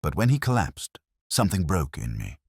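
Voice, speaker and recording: A man voicing a weary, guilt-ridden elderly English magistrate in a story, confessing in halting, formal phrases. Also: low voice, huge pitch swings, breathy edge, no echo, studio quality, pitched above the performer's own voice, full character voice. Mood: guilty confession.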